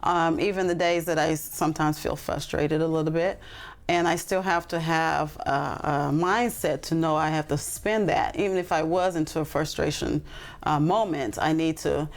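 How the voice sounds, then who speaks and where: A woman's voice: sits low, steady tone, moderate pace. A woman talking earnestly and reflectively as a podcast guest, with frequent ums and restarts. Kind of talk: casual interview talk.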